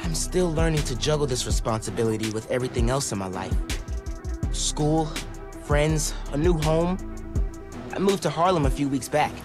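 A man's voice: on the high side, moderate pace, natural voice. An actor playing an earnest, upbeat teenage boy superhero in a video game, with an American accent and youthful slang. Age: young adult. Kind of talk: video game cutscene acting and narration. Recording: music behind the voice.

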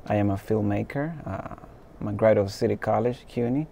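A man in his thirties from the Dominican Republic, a filmmaker speaking as a guest in a studio interview, measured and full of pauses. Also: on the low side, gravelly, dark tone, slight background noise. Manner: measured, many uh fillers. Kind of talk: studio talk show interview.

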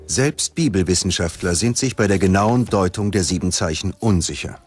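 Low male doc narrator, dramatic and ominous, music going underneath. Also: normal speed. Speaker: documentary narrator. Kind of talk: scripted narration. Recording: loud music behind the voice.

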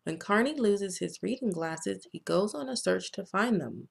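An American woman reading a children's storybook aloud as a narrator, in a deliberate, expressive read-aloud manner. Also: mid-range voice, gravelly, slightly rough. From United States. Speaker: children's author reading own book.

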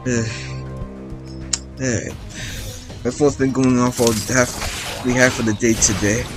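A man's voice: mid-range voice, steady tone, slow. Rambling teenage guy over game music. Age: teens. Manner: rambling, chatty, many restarts and ums. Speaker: gameplay commentator. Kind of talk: casual let's-play commentary. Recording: game music playing underneath.

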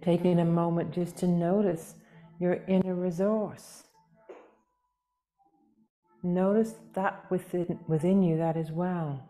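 Very slow, mellow woman guiding meditation. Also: lowish voice, fairly even intonation, soft background music. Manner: soothing, gentle, unhurried, with long pauses. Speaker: yoga nidra teacher. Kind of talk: guided meditation.

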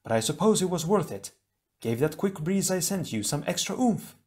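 A cheerful, talkative young air hero, as a man puts him on in a raised, bright voice. His grammar comes out jumbled and broken. He is done as a figure in a read-aloud adventure book. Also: on the high side, animated, moderate pace, pitched above the performer's own voice, natural voice. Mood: chatty.

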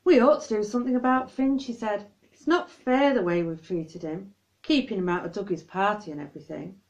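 A woman's voice: medium pitch, a little fast. A woman reading a children's story aloud on a lo-fi home recording. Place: high-ceilinged living room.

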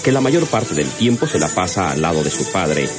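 A man's voice: deep voice, sing-song, normal speed. A man narrating a radio serial in a dramatic scripted style. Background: music.

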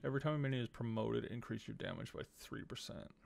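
A man's voice: medium pitch, fast, creaky, a little mellow, slight background noise. A man in his thirties doing casual game commentary, muttering as he thinks aloud and reads on-screen text. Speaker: game streamer. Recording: game music behind the voice. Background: video game music.

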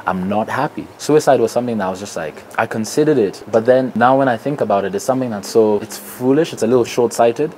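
A man's voice: mid-range voice, fast, hardly any pauses, a little mellow, slight background noise, poor audio. A Kenyan man talking candidly in an interview, quoting his own past thoughts. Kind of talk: reflective interview talk. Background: music.

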